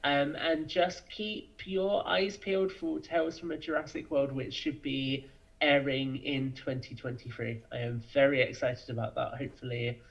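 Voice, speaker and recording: A man's voice: medium pitch, steady tone, normal speed, creaky, highs cut off. A man chatting as a podcast guest over a remote connection, explaining his thoughts fluently. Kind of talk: casual interview chat.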